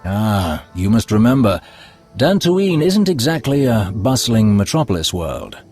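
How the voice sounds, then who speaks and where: A suave, scheming Sith lord. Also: male voice, lowish voice, big pitch swings. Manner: suave, ingratiating, measured. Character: smooth, scheming male Sith lord, courtly and sly. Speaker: Imperial schemer. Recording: game cutscene over loud music.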